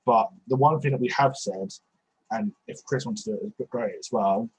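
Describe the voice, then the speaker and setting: Husky young man on headset. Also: mid-range voice, moderate pace, poor audio. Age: 20s. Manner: rambling, many restarts and fillers. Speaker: podcast host. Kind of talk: casual video-call chat. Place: small bedroom. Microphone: headset on video call.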